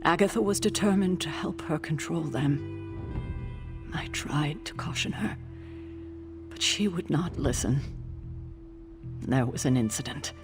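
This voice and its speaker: Game casting: authoritative, stern older female guardian, deliberate and grave, music underneath. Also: sits low. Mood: grave, bitter. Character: stern, commanding older woman, immortal guardian of an abbey. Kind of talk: video game cutscene acting.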